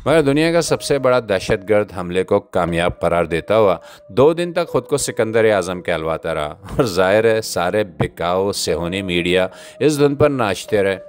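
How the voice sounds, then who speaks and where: A man's voice: low voice, big pitch swings, normal speed. A man delivering scripted satirical political commentary in a sardonic, literary way. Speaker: commentator, host.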